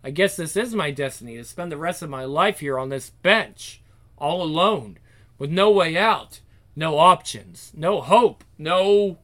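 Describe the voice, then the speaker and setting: Performer playing a dramatic, self-pitying teenage girl, bright voice. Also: mid-range voice, expressive, measured pace. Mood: hopeless. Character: troubled, sarcastic, self-conscious young teenage girl narrator.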